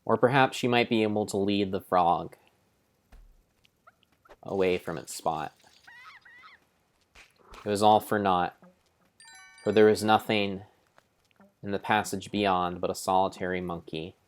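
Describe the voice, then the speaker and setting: A man's voice: low-pitched, steady tone, a little slow, pauses often, clean tone. A man giving a solemn, theatrical mock-dramatic narration of a video game, as if reading a grand storybook. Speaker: streamer narrating his game play.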